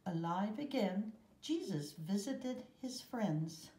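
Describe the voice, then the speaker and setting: A woman reading a children's Bible picture book aloud with measured, deliberate phrasing and pauses, recorded indoors. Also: mid-range voice, expressive, mellow, reverberant. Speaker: storybook reader.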